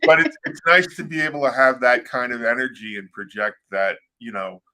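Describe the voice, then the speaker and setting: A man's voice: mid-range voice, animated, a little fast, sharp tone, low-quality recording. A man co-hosting an interview, chatting casually and joking, often restarting his sentences. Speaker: co-host.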